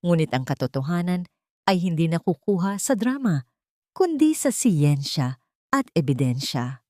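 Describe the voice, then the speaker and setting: A woman voicing a calm, incisive male lawyer who addresses a court formally and firmly in a story. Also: lowish voice, measured pace, no echo, clean studio sound.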